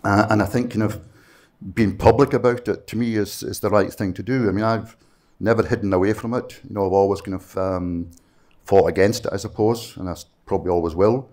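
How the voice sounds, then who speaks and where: Deep-voiced Scottish man on a panel. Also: fairly even intonation, brisk, darkish tone. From Scottish Western Isles. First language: Scottish Gaelic. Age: middle-aged. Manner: earnest, rambling with many fillers. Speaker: panel speaker. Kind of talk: panel discussion talk. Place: conference room with audience. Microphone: handheld mic.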